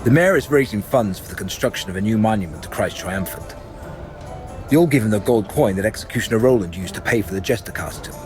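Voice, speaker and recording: An actor playing a stern, commanding inquisitor in a medieval video game, speaking in a measured, threatening, authoritative way. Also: male voice, on the low side, lively intonation, natural voice. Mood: cold, menacing. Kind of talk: video game cutscene acting. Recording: dramatic music behind the voice.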